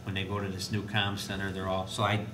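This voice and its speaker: A man speaking as an administrator at a school board meeting, explaining in a halting way with restarts. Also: completely flat delivery. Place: indoor board meeting room. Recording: noisy, echoey meeting room with low rumble.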